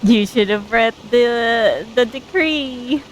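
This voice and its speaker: Slow-paced casual female game streamer. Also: on the high side, soft background music, rough recording. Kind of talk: casual live commentary over gameplay.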